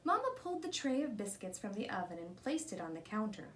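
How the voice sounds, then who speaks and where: A woman reading a children's storybook aloud in an expressive narrating style. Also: medium pitch, fast talker, slight background noise. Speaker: storybook reader.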